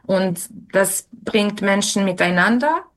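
A young woman, not a native speaker, answering interview questions slowly with many fillers and restarts. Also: medium pitch, animated. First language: not German. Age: young adult.

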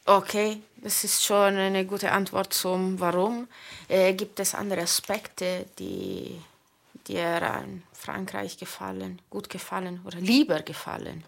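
Slow, hesitant woman interviewer. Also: medium pitch, gravelly. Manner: hesitant, many fillers. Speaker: radio interviewer. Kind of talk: radio interview.

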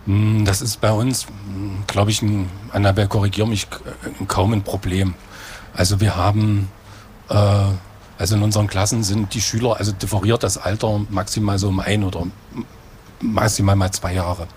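A man's voice: fairly low pitch, level tone, normal speed, darkish tone, a little hiss or hum. A man, a school head, answering interview questions in a conversational way, explaining at length.

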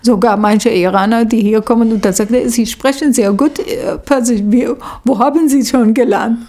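A middle-aged woman, a native Arabic speaker, recounting her experience in accented, halting speech as she searches for words. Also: fairly high pitch, dark tone. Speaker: social worker telling her experience. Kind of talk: spontaneous interview account.

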